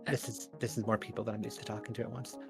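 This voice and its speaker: A man's voice: on the low side, flat delivery, racing, vocal fry. A man acts out an awkward young male intern in a tabletop roleplaying game. He stammers and hesitates, shy and self-deprecating. Faint music plays behind him. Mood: nervous, awkward.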